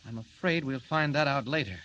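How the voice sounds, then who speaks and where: An actor playing a confident, determined newspaper reporter with a secret heroic side, in crisp, purposeful old-time radio serial style. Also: male voice, mid-range voice, fairly quick, sharp tone, lo-fi sound, natural voice. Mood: calm, insistent. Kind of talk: old-time pulp radio drama acting. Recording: lightly noisy vintage radio recording.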